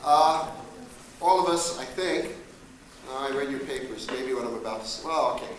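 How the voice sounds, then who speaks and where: A man hosting a live event, giving a casual, halting introduction for a comedian. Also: mid-range voice. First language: English. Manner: halting, informal. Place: live event in university room. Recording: very echoey room, distant mic, noisy.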